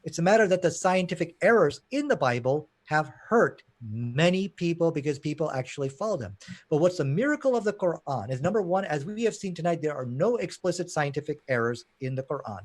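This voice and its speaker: A man's voice: a little high, expressive, fairly quick. A man from Pakistan arguing emphatically in a live online debate. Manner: emphatic, combative, fond of "you know".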